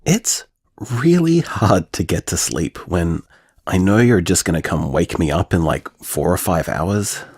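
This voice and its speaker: A man's voice: deep voice, animated, measured pace, a little mellow, husky, exaggerated voice. An actor playing an earnest, restless young man in a naturalistic audio drama, speaking hesitantly and reflectively as if leaving voicemails. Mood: anxious, weary.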